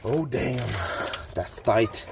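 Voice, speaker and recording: French-native man talking through a car repair casually, mid-pitched voice, faint noise behind. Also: drawn-out words, vocal fry. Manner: plain explanations, frequent fillers and restarts. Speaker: DIY car repair youtuber. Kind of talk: casual how-to narration while working. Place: garage or driveway by a car.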